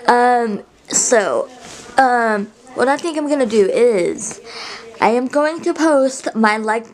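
A young woman chats to her camera from a small bedroom, rambling along with plenty of ums and restarts. Her voice sits a little high, and the handheld camera stays close to her face. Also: lively intonation, slow pace, low-quality recording. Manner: rambling, chatty, many fillers and restarts. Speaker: vlogger. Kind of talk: casual vlog chat to camera.

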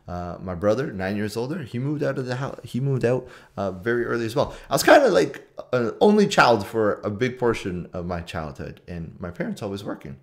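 Low-voiced Canadian man, casual storyteller. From Toronto, Canada. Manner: informal, conversational, with repetition for emphasis. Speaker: trader and trading community video host. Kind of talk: casual personal storytelling to camera. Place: small room at home.

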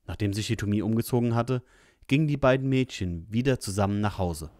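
A man's voice: on the low side, brisk, darkish tone, slight background noise. A man narrating an audio drama in a scripted storytelling style.

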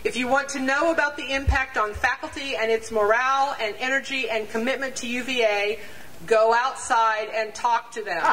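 An older woman reading a prepared statement aloud to a rally on an outdoor lawn, measured and deliberate, sometimes stumbling and restarting over numbers. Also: fairly high pitch, fairly even intonation. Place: outdoor campus lawn before a large crowd. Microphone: standing mic on stand, picked up from a distance. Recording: distant outdoor pickup with crowd noise.

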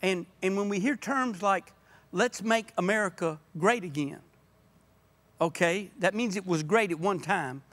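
Older man, high-pitched, passionate and emphatic, guest in a church podcast chat. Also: measured pace, fairly bright tone. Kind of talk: earnest conversation. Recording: podcast audio.

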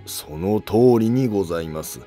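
A man's voice: low voice, expressive, moderate pace, warm tone, faint music behind. A man voicing a loyal, concerned court retainer in a historical cartoon drama, formal and respectful. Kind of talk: Japanese historical animated drama acting.

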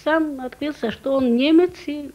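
A woman's voice: high-pitched, normal speed, a little mellow, dull audio, slight background noise. A woman in her forties from Georgia, a vocal teacher, chatting about her family at a home dinner table. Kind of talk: casual talk at a dinner table. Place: home dining room.

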